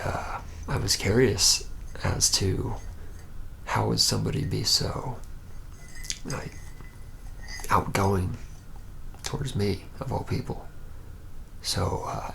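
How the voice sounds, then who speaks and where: A man as a low, gravelly, reluctant young vampire. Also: fairly bright tone, a little hiss or hum, exaggerated voice. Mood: reluctant, puzzled. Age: young adult. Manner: hesitant, halting, murmured, often near whispering. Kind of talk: ASMR roleplay monologue.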